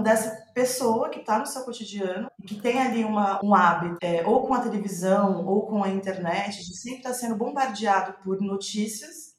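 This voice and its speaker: A Brazilian woman, a playwright in a reflective podcast interview, thinking aloud in a halting, thoughtful way. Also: medium pitch. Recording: roomy, echoing sound.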